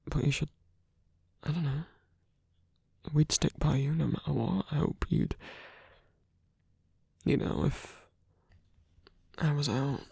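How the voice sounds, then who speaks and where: A performer in an intimate ASMR roleplay, voicing a gentle, protective supernatural lover with a husky, breathy voice and a hesitant, earnest delivery. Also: mid-range voice, slow, long pauses, no echo, studio quality, heavily acted. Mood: earnest, devoted.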